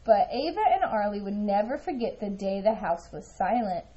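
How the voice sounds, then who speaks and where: Expressive woman reading a children's story, lively pitch. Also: moderate pace, lo-fi sound. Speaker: storybook reader. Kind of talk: expressive children's read-aloud narration.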